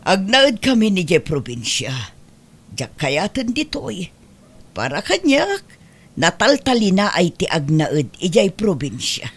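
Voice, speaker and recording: An actress plays an older, well-to-do Filipino wife who runs her household with a commanding air. Her pitch moves animatedly as she speaks. The delivery is expressive comedy drama acting. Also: measured pace, husky, full character voice. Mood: bossy, firm. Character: well-off older married woman, bossy mistress of the house. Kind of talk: Ilocano comedy radio-style drama acting.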